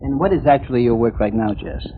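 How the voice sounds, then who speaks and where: A man's voice: medium pitch, quick pace, soft background music. A man hosting a radio interview in a formal, ceremonious announcer's way. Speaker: radio show host. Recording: tinny phone-like vintage radio, faint noise.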